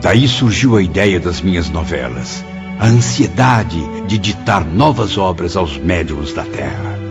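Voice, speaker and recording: A man's voice: low voice, lively intonation, measured pace, highs cut off, over music. An actor playing an earnest, sorrowful spirit writer who narrates his afterlife memories in formal, literary language for a radio drama. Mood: solemn, reflective.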